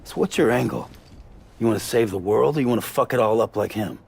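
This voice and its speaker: An actor playing a gruff, suspicious courier in a video game, with a gravelly voice and terse, accusing delivery. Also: male voice, on the low side, quick pace, a little mellow, a little hiss or hum. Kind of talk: video game cutscene acting.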